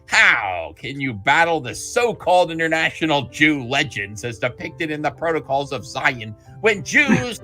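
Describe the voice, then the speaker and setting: Male livestream host, bright forceful tone with lively swings, theatrical and mocking delivery. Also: a little high. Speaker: host of a comics talk livestream. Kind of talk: dramatic letter reading and casual group chat. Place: online group call.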